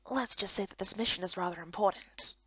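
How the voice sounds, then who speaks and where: A woman voicing a calm, reserved young male warrior in a fantasy game, subdued and terse. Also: medium pitch, brisk, slightly rough, no room sound, muffled recording, pitched below the performer's own voice.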